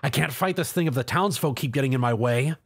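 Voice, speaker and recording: A man's voice: mid-range voice, fast, husky. A man voicing an earnest armored hero, urgent and quippy, in a story. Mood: determined.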